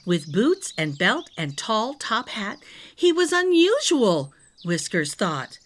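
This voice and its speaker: A woman reading a rhyming picture book aloud to young children, expressively, with deliberate dramatic phrasing. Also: mid-range voice, sing-song. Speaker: storytime reader.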